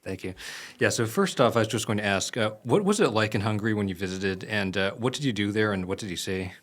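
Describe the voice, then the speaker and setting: A man's voice: lowish voice, animated, quick pace, fairly bright tone. A man hosting a history podcast, talking in a casual, chatty way with many fillers. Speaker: podcast host. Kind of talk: casual interview.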